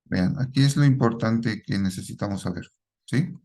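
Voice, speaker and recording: A middle-aged man teaching a programming class, quizzing students and checking in with a quick "¿sí?" after each point. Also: fairly low pitch, warm tone. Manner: asks the class questions and repeats their answers, ends lines with a checking "¿sí?". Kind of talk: live classroom lesson.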